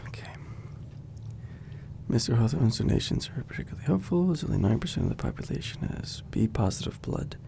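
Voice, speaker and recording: Male friend, audio drama: actor as a casual, caring skeptic with a creaky mid-range voice and gentle teasing. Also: mid-range voice, moderate pace, long pauses, slight background noise, lightly acted. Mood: skeptical.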